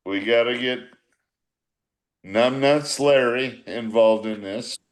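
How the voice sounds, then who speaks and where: A man's voice: medium pitch, slow pace. An older man in a casual, profane group chat on a video call, reminiscing like an old baseball manager with blunt, teasing banter.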